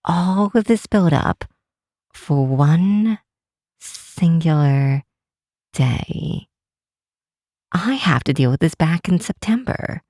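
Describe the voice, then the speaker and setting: A woman's voice: low voice, lively intonation, takes it slowly, pauses often, darkish tone, no echo, studio quality, heavily acted. A woman playing a cynical, sardonic but secretly affectionate grinch girl in an ASMR roleplay, drawing out words for emphasis. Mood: exasperated, sardonic. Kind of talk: ASMR roleplay, scripted acting to the listener.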